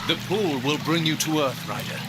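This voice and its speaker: An actor playing a proud, grave ancient angelic ruler in a video game, grandiose and theatrical, with a heavily gravelly voice. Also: male voice, on the high side, normal speed, heavy background noise, natural voice. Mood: grave, imperious. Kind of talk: video game cutscene acting. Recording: music behind the voice.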